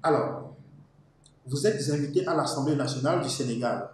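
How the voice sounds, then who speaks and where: A man hosting a formal journalistic interview, speaking in a measured, structured way. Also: medium pitch. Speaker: interviewer, host. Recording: very echoey room, faint background noise.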